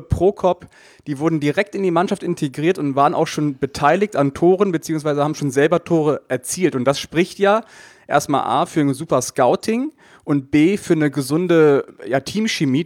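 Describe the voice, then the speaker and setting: A man lays out a football analysis point by point, with a slightly high voice. The sound is dry and close, with no room around him. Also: dry recording. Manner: methodical, lists points one by one. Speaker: football pundit.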